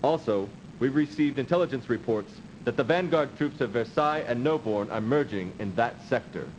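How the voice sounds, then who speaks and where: Dutiful space officer played by a man, mid-pitched, formal and cautious, video game briefing, lo-fi audio. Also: expressive, darkish tone, natural voice. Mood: formal, serious. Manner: formal, measured. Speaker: military adviser in a video game. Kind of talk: 1990s video game cut-scene acting. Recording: dull, noisy old CD game audio.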